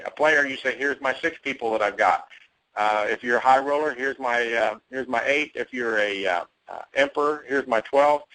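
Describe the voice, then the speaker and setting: An American man giving an enthusiastic, salesy pitch on a conference call, repeating words for emphasis. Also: a bit bright, poor audio. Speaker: company executive addressing network marketers.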